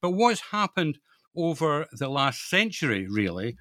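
An older man with a Scottish accent, an interview guest explaining things informally in a halting way, repeating words as he thinks. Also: on the high side, big pitch swings, bright, forceful tone. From Scotland.

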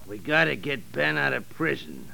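An actor playing a tough, scheming gang boss in 1940s radio drama style, with gravelly, forceful talk. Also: male voice, medium pitch, expressive, normal speed, exaggerated voice, rough recording. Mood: scheming, urgent.

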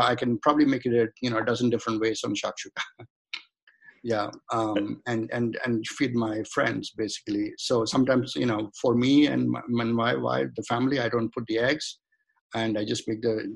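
A middle-aged man from India speaks in a gravelly voice with a touch of vocal fry. He rambles through a casual interview, restarting his sentences and filling the gaps. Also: medium pitch, rough recording. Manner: rambling, many restarts and fillers. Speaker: guest, home cook and shaving-product maker.

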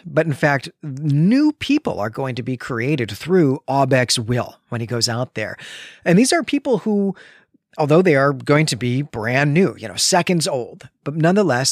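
A man's voice: on the high side, dry recording, studio quality. A man co-hosting a book podcast, recapping a story conversationally with wry asides. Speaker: podcast co-host.

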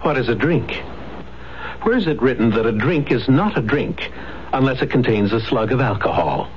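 A man's voice: mid-range voice, expressive, moderate pace. A man reading a scripted radio public service announcement in a questioning, rhetorical manner. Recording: muffled, noisy 1970s radio broadcast.

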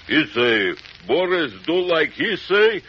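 A man's voice: a little high, sing-song, slow, husky, heavily acted. An actor playing a big, simple-minded, earnest Russian strongman, groping for English words in old radio style. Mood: naive pride. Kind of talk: 1940s radio acting. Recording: muffled, noisy old radio recording.

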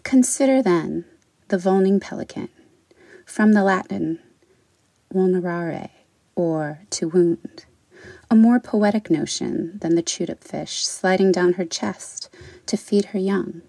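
Mellow woman with creaky voice. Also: fairly low pitch, a little slow, pauses often, no room sound. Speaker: poet, writer. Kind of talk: spoken introduction and poetry reading.

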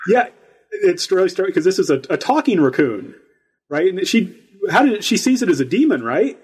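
A man hosting an interview, asking casual questions and giving quick affirmations. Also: sits high, big pitch swings, darkish tone, breathy edge. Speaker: interview host. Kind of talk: conversational interview.

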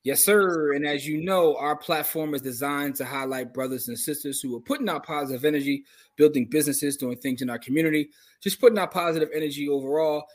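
A man hosting a podcast, talking in an upbeat, slangy, casual way as he interviews a guest. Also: fairly high pitch. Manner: fast, upbeat, slangy banter. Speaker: podcast host.

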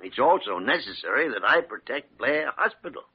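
Husky, gruff old hospital doctor. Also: male voice, medium pitch, very animated, normal speed. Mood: brisk, businesslike. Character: crusty older senior hospital doctor, gruff but obliging. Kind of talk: old-time radio drama acting. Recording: dull vintage radio recording.

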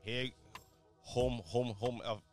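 A man's voice: mid-range voice, fairly even intonation, slow pace, soft background music. A man with Haitian parents making his case in a casual podcast debate, earnest and stumbling over words, often set a bit back from the mic. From United States, Haitian parents. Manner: earnest, repeats "you know what I mean", stumbles over words. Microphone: told to talk closer to the mic.

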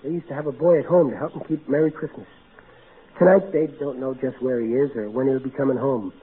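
A man's voice: mid-range voice, steady tone, brisk, close to an everyday voice. An actor playing a big-hearted, blustery middle-aged everyman in old-time radio style. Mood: gentle, somber. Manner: folksy, ungrammatical, earnest, blustery. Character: big-hearted, folksy, exasperated working-class everyman. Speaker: actor in a radio comedy-drama. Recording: narrow tinny vintage radio broadcast, hissy.

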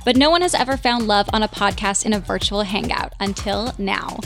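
A woman's voice: medium pitch, a little fast. A woman hosting a dating podcast, upbeat and playful, with faint music behind. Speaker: host.

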